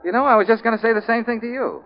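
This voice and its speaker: A man's voice: extremely high pitch, very fast. A man playing an easygoing, wisecracking investigator boss in a 1940s radio drama. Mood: breezy, amused. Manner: easygoing, teasing. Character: breezy, wisecracking ex-army male investigator boss. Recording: muffled, noisy 1940s radio recording.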